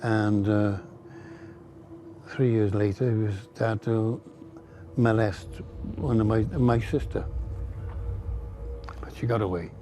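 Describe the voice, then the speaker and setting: An elderly man recalls his past. His voice is fairly low, and there is clear ambient noise behind him. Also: steady tone, takes it slowly, pauses often, warm tone. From Cardiff, Wales. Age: 80s. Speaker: documentary interviewee. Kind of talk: personal recollection.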